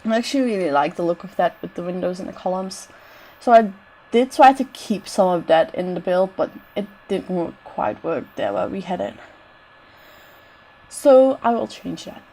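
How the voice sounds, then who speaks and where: Gravelly-voiced woman with a cold. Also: mid-range voice, darkish tone, rough recording. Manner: rambling, many fillers and "I don't know" asides. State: has a bad cold, voice straining. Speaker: game builder narrating a renovation. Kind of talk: casual gameplay commentary.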